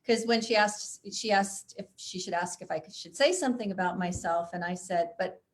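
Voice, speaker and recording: A woman's voice: fairly low pitch, brisk. Chatty self-deprecating woman author. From Chicago, USA. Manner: self-deprecating, laughs often. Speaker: author guest. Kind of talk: conversational interview and book reading.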